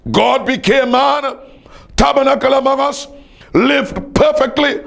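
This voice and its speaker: A man's voice: very high voice, measured pace, gravelly, a bit bright. A man preaching a fervent evangelistic sermon in an emphatic, declamatory way, repeating phrases for effect.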